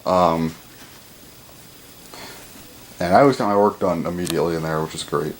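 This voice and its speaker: A seventeen-year-old young man rambling casually, with lots of fillers and the odd swear, his pitch jumping up when he stresses a word. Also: steady tone, normal speed, a little hiss or hum, rough recording. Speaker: vlogger.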